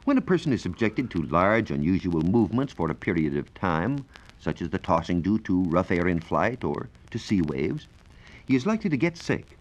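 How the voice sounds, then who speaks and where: An American man working as a science reporter gives a formal, measured, scripted explanation in the style of 1940s educational radio. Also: deep voice, big pitch swings. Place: radio studio. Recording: dull, lo-fi 1940s radio recording.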